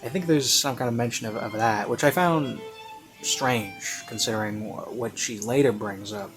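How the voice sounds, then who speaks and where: A man's voice: mid-range voice, normal speed, fairly bright tone. A man giving a casual, unscripted review in a rambling, hesitant way with lots of fillers. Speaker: online reviewer. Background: classical music.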